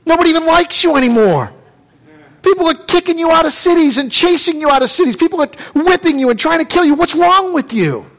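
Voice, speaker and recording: Man voicing a high-pitched indignant accuser, shouting and contemptuous. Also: lively intonation, fairly quick, rough recording. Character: scornful, indignant man confronting an old rival.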